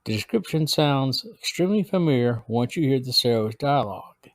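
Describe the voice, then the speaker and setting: Male podcast host, scripted review, measured read, mid pitch. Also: expressive, dry recording. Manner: measured, read-aloud delivery.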